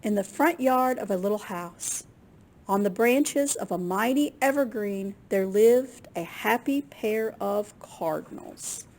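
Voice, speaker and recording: A woman reading a picture book aloud to children in an expressive, unhurried way, with small asides to her listeners. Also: mid-range voice. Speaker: children's storytime reader at a library.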